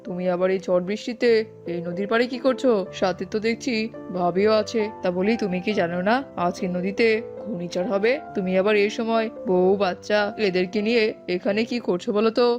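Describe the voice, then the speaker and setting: A woman's voice: mid-range voice, brisk, a little mellow, lo-fi sound, close to an everyday voice. Woman voicing a blunt, scolding male cartoon neighbour. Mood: alarmed, scolding. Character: blunt, cautioning male neighbour named Kingkong. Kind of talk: cartoon dub acting. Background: music.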